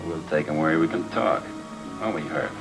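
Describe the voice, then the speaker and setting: A menacing gang boss in a forties noir film. His voice is deep and controlled, full of wry, mocking taunts, with music clearly under it on an old soundtrack. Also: moderate pace, poor audio. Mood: mocking amusement. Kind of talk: 1940s film noir acting.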